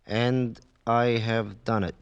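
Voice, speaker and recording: An actor playing a tired, plain-spoken working-class husband in a 1940s radio drama, with a blunt colloquial manner. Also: male voice, mid-range voice, level tone, slow, clear, resonant tone, highs cut off. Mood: reluctant, halting reading.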